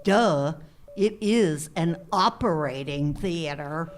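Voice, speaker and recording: A woman's voice: low voice, slow pace. A woman playing an impatient, scornful villainous nurse in a live comedic radio drama, sneering with disdain. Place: theater stage before an audience. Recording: noisy live theater stage recording.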